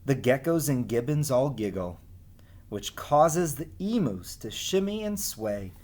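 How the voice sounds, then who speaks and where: A man's voice: mid-range voice, sing-song, measured pace, darkish tone. Guy teacher doing a read-aloud for kids, takes his time, mid-range voice, keeps it informal. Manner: informal, explains and asks listeners questions. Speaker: teacher reading aloud to pupils. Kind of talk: casual read-aloud lesson for children.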